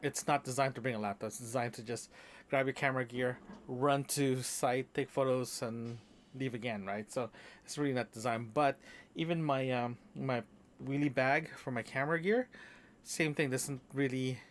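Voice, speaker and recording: Male podcast host, mid-pitched voice, loose rambling audio-diary delivery, lots of restarts. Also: fairly even intonation, moderate pace, slight background noise. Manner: rambling, many restarts and fillers. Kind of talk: casual solo audio diary. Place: apartment room.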